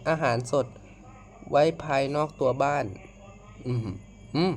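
A man hosting a casual reaction video, politely addressing viewers as friends in a halting, repetitive way with frequent exclamations. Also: on the high side, fairly even intonation. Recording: noisy amateur home recording.

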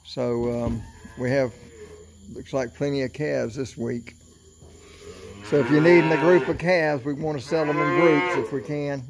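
A man's voice: on the high side, steady tone. A middle-aged man from Florida, walking through an open barn at night with a phone camera, talking casually and counting calves aloud. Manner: slow, unhurried country talk with fillers, counting animals aloud. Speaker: cattle seller filming his barn. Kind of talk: casual walkthrough vlog. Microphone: handheld phone camera. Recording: noisy outdoor barn phone recording.

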